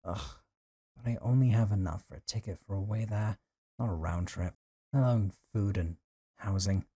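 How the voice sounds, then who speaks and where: A man's voice: on the low side, moderate pace, mellow, husky, dry recording, clean studio sound. A man acting a possessive, lovesick young man in an intimate audio roleplay, talking in a casual, teasing, conversational way with sighs. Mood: yearning, lovesick. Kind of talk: intimate audio roleplay drama, one side of a call.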